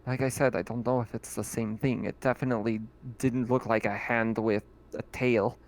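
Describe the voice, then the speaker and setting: Casting: man, mid-pitched, easygoing game master narrating a tabletop game. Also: moderate pace. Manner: relaxed, filler-heavy description. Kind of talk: running a tabletop roleplaying game.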